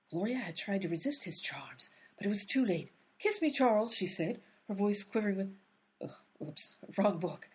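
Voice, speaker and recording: A woman's voice: mid-range voice, a little mellow, husky. A woman playing an exasperated, determined but inept detective in a radio comedy, with an emphatic, easily sidetracked manner.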